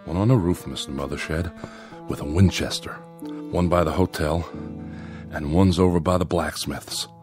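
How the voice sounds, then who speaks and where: A man's voice: low-pitched, fairly even intonation, moderate pace, music in the background, lightly acted. An actor playing an earnest, folksy Old West man organizing a posse, in a radio drama with an American Western drawl. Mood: insistent. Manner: folksy, insistent.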